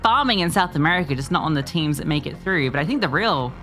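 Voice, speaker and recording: A woman's voice: sits low, lively intonation, quick pace, fairly bright tone, faint music behind. A woman commentating an esports match, with playful, teasing banter toward her co-caster. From Australia. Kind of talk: live game commentary and casual chat.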